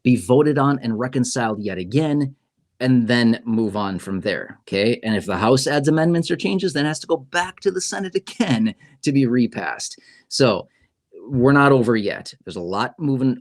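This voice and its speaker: Man, livestream host, casual explainer, mid-pitched voice, keeps asking okay, right. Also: expressive, moderate pace. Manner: conversational, repeats "okay?" and "right?". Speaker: YouTube host.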